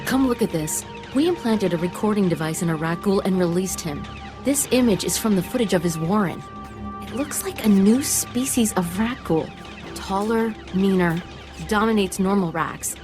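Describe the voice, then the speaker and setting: An actress playing a keen field scientist in a video game, brisk and analytical in manner. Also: female voice, medium pitch, lively intonation, over music, lightly acted. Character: keen woman field scientist studying alien creatures. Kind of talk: video game cutscene acting.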